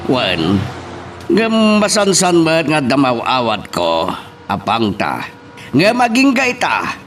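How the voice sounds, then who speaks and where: A distant-sounding actor as an arrogant landowner lording it over his henchman. He speaks slowly and scoffingly, with commanding swings in his voice, as in a radio drama. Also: mid-range voice, huge pitch swings, fairly bright tone, soft background music, heavily acted. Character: arrogant landowner senyor plotting and scoffing at threats. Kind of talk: radio-style Ilocano drama acting. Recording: distant mic, faint background noise.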